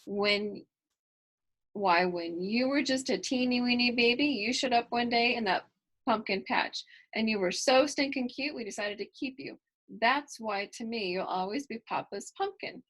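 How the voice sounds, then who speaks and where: A woman's voice: on the high side, normal speed, fairly bright tone. Woman reading kindly, teasing farm grandpa. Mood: fond, teasing. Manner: affectionate, folksy, explaining patiently. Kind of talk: children's storybook dialogue.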